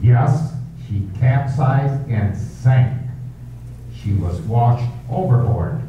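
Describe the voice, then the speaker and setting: Male actor as an awkward estate manager, slow and halting, tinny echo. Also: mid-range voice, lively intonation, mellow, a little hiss or hum. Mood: reluctant. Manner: halting, hesitant. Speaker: amateur radio drama actor. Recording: tinny, echoey and distant.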